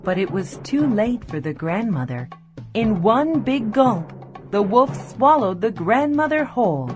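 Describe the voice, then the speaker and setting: A woman's voice: mid-range voice, sing-song, measured pace. A woman narrator reading a scripted fairy tale for children. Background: faint music.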